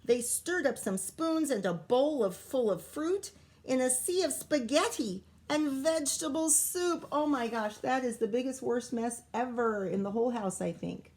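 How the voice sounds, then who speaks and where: Slow, expressive woman reading to children. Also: sits high. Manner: expressive, sing-song story reading, coaxing questions to young listeners. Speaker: primary school teacher reading aloud to pupils. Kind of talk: children's picture book read-aloud with asides and questions.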